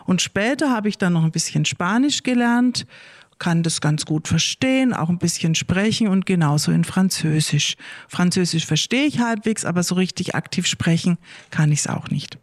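A middle-aged woman, a school head, calmly answering pupils' questions and explaining things slowly and clearly for children. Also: fairly low pitch, dry recording, clean studio sound. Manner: slow, careful, clear wording for kids.

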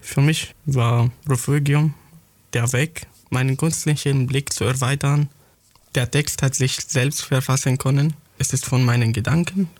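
A teenage boy from Syria answers interview questions in careful, halting German, often searching for the next word. His voice has a gravelly creak, and the sound is dry and close with no room echo. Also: medium pitch. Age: teens. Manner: halting, searching for words, non-native grammar. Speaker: young student writer interviewed.

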